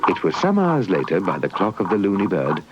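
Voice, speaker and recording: Deep, animated British male storyteller. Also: fairly quick, heavy background noise. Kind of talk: scripted children's story narration. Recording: muffled old VHS tape, music underneath.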